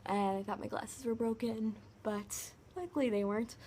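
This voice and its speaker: A young woman vlogger with a high-pitched voice, casually retelling a story to camera, full of "like" fillers. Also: normal speed, a little hiss or hum. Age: young adult. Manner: chatty, many "like" fillers, animated retelling.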